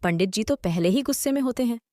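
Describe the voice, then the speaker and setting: A woman's voice: a little high, expressive, fast talker, dark tone, dry recording, studio quality. A woman narrating a scripted story as host and storyteller.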